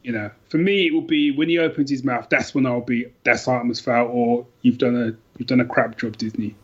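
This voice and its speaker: A man's voice: mid-range voice, steady tone, fairly quick. Man chatting informally, slightly dull audio. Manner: informal and colloquial. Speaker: podcast guest. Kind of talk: casual book chat.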